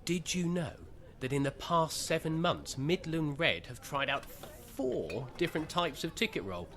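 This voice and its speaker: A man's voice: a little high, sing-song, a little slow, a bit bright, exaggerated voice. A man acting in a radio play as an earnest, naive bus-spotter who is awkward and pedantic about trivia. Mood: earnest, enthusiastic.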